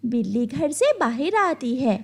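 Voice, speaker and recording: A woman's voice: high-pitched, very animated, measured pace. A woman narrating a scripted children's story as a storyteller.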